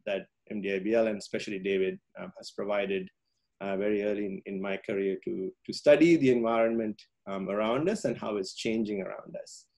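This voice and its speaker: A man's voice: on the low side, darkish tone. A man from Sri Lanka giving a public science talk over a video call, with many ums and uhs and frequent restarts.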